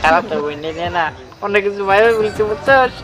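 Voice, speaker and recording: A man's voice: sits high, lively intonation, quick pace. A young man playing a dreamy, smitten village friend in a comic short film, with a Bangladeshi accent.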